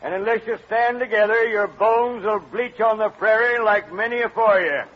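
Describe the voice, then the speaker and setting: An actor playing a gruff, commanding wagon train guide with a way of speaking, in an old-time radio western. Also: male voice, high voice, moderate pace. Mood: stern, grave. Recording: dull vintage radio recording, noisy background.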